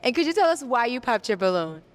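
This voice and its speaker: A woman's voice: fairly high pitch, very animated, quick pace. Upbeat woman hosting a dating show. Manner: upbeat, encouraging, asks questions.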